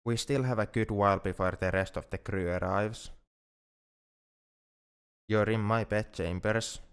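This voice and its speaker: A man playing a shy, tender, awkward alien in love in an intimate ASMR roleplay, speaking gently and haltingly, often repeating words. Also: fairly low pitch, fairly even intonation, clear, resonant tone, lightly acted. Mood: tender, sleepy.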